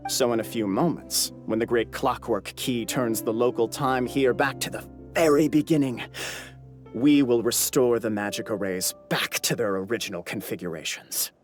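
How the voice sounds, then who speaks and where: A man's voice: mid-range voice, steady tone, normal speed, lightly acted. An actor playing a pompous, wordy clansman proud of his ancestry in a video game, with music behind him. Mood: lecturing. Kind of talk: theatrical video game character acting.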